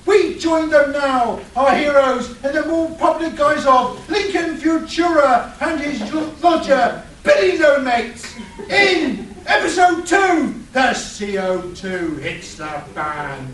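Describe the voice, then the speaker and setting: A mock-serious serial narrator played by a man with a very high voice, announcing a comic radio play with drama. The sound is echoey and far from the mic. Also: lively intonation, takes it slowly, a bit bright, slight background noise, full character voice. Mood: dramatic announcing. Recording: echoey, distant live stage capture.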